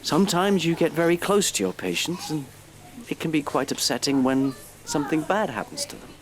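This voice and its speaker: An actor playing a well-meaning, educated family doctor with a British accent in an audio play, speaking in a hesitant, articulate, slightly prim way. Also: male voice, on the high side, brisk, lightly acted, poor audio. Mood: reflective, earnest. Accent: British English.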